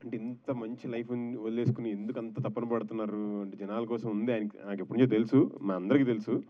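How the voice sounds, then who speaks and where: Low-pitched Indian man, thin narrow-band sound. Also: steady tone. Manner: halting, mixes English phrases into speech. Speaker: film actor answering press questions. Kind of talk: press question-and-answer, conversational.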